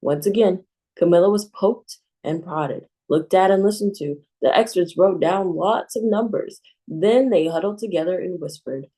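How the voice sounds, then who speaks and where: A woman librarian reads a children's picture book aloud, calm and expressive. Her voice sits low and sounds soft and mellow. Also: moderate pace, poor audio.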